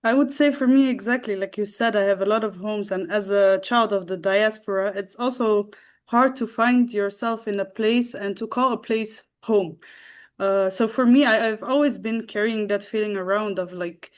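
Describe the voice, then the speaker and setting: Young female guest, from the Netherlands, middling pitch, halting and full of fillers. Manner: halting, with many fillers and restarts. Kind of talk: casual storytelling.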